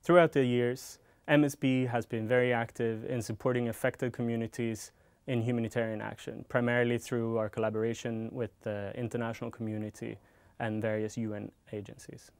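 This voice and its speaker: A man's voice: medium pitch, level tone, a little fast, smooth, no room sound. A man in his thirties giving a prepared introduction to camera as a program manager, recorded indoors on a lapel mic. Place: indoors, in front of a curtain. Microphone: clip-on lapel mic.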